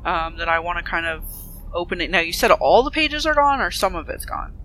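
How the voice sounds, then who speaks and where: A man's voice: sits high, lively intonation, brisk, pushed and bright, slight background noise, rough recording. A man playing a practical, questioning woman detective in an improvised tabletop role-playing game, often thinking aloud. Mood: puzzled, questioning.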